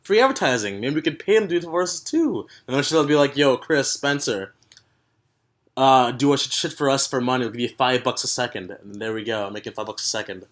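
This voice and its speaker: A man's voice: medium pitch, brisk. Joking young man hosting a podcast. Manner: joking, teasing, rambling. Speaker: podcast host. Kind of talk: casual chat.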